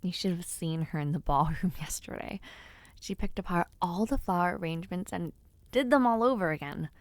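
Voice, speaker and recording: A woman playing a giddy, bashful young noblewoman in an intimate romance audio roleplay, affectionate and teasing, with soft hesitations. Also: on the low side, normal speed, no room sound, lightly acted. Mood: chatty. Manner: soft hesitations, gentle teasing, shy giggles. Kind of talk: intimate scripted audio roleplay, speaking to the listener.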